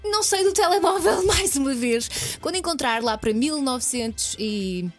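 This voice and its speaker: A woman's voice: sits high, animated, moderate pace. A woman with a European Portuguese accent co-hosting a breakfast radio show in casual banter. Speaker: morning radio co-host. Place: radio studio. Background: music bed.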